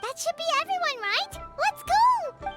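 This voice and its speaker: A woman's voice: very high-pitched, sing-song, measured pace, heavily acted. A woman voicing a tiny, bubbly, bossy floating girl companion in a game. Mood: eager. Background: game music.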